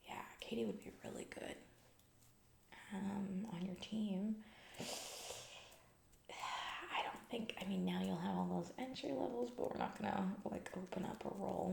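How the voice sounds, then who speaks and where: A woman playing a callous, self-absorbed, distracted female CEO in a soft-spoken ASMR comedy roleplay, breathy, rambling and trailing off. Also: mid-range voice, roomy sound, slight background noise. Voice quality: breathy soft voice with heavy vocal fry. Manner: rambling, trailing off, vague filler-heavy corporate talk. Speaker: ASMR performer.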